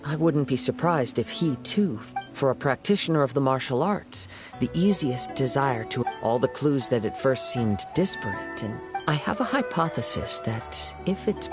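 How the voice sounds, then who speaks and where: An actor playing a calm, analytical young wandering swordsman in a video game, with formal, measured speech. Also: mid-range voice, lightly acted. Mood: thoughtful. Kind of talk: video game character dialogue. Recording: music behind the voice.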